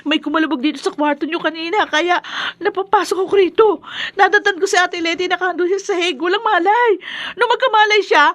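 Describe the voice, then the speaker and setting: An actress in a radio drama playing a distraught, accusing younger sibling, with frantic and tearful urgency. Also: female voice, very high-pitched, a little fast, a bit bright. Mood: alarmed, tearful. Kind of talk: melodramatic radio drama acting.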